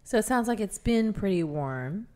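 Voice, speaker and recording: A woman's voice: mid-range voice, normal speed. Chatty woman hosting an interview. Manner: chatty, animated storytelling with laughs. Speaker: radio show host. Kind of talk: conversational interview.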